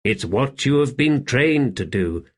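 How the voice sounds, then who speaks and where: A man voicing a shrewd slave in an audiobook, calm, deferential and measured, speaking quietly. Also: medium pitch, pitched above the performer's own voice. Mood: calm, coaxing. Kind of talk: audiobook character acting.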